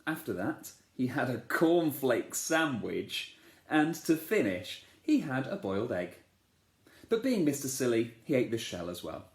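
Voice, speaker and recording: A man, a teacher, reading a children's storybook aloud in a playful, expressive way, asking the listeners questions. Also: medium pitch, a little slow. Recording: echoey room.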